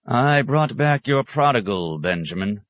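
A man's voice: medium pitch, expressive, unhurried, a bit bright, dry recording, lo-fi sound, pitched above the performer's own voice. A man voicing a good-humoured elderly abbot in an audiobook, chiding in a familiar, mock-stern way. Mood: amused, exasperated. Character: elderly abbot, good-humoured, exasperated old friend of hermit.

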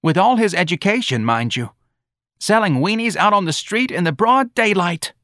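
Casting: aggrieved older New mama done by a male reader, high-pitched, whining, lively swoops, audiobook dialogue. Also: normal speed, no room sound, studio quality. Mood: exasperated. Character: Irene Riley, plaintive, fretful aging Southern mother.

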